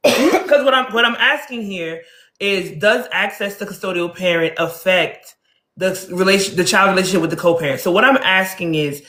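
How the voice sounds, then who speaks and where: A woman's voice: mid-range voice, a little fast. Encouraging woman host in an echoey room. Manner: encouraging, many soft "mm" listening sounds. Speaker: live stream host. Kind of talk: hosting a live call-in chat.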